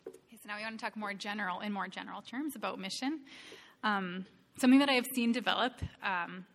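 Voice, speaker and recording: A woman's voice: medium pitch, quick pace. A woman in her thirties giving a church talk on mission, reading scripture aloud in an earnest, emphatic way. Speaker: missionary speaker presenting to a church.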